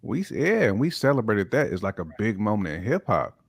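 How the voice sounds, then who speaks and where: A man's voice: on the low side, very animated, fairly quick, vocal fry, breathy edge. A man chatting casually about hip hop as one of a talk show panel.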